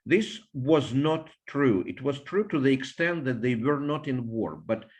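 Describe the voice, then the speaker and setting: An older man with a Russian accent, a biologist answering interview questions in a measured way, with frequent fillers and restarts. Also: mid-range voice, animated, darkish tone. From Soviet Union, Russia (Siberia) and Ukraine.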